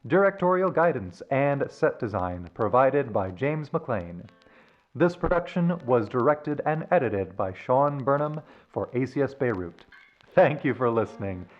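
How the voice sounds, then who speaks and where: Lively-pitched male announcer, slightly muffled. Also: measured pace. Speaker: announcer reading closing credits. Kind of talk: scripted radio-show sign-off. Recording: slightly dull, lo-fi sound.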